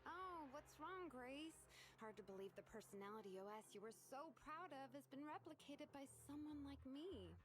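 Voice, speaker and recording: A woman's voice: high-pitched, expressive, normal speed, music in the background. An actress voicing a boastful young woman tech rival who taunts and gloats in a game cutscene. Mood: gloating. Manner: mocking, gloating.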